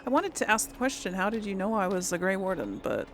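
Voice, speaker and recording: Woman game streamer, vocal fry, casual thinking-aloud commentary, light game noise in background. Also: medium pitch, big pitch swings, fast, fairly bright tone. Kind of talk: casual let's play commentary. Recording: faint game sounds behind voice. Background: video game ambience.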